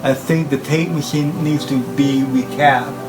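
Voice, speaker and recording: Slow-talking man over music. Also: mid-range voice, steady tone. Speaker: hobbyist presenter showing his tape machines. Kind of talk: informal equipment talk.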